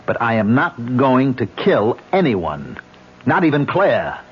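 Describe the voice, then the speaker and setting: A man's voice: mid-range voice, wildly swooping pitch, slow, breathy edge, heavily acted. An actor playing a haunted male actor who is defensive and exasperated, in a radio drama. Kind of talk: 1970s radio drama acting. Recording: lo-fi old radio broadcast.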